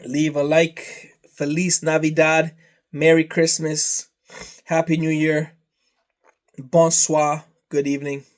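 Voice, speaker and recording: A man whose first language is Serbian, talking slowly and rambling through a casual vlog, trailing off into long lists. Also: on the high side, level tone. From Canada. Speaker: vlogger.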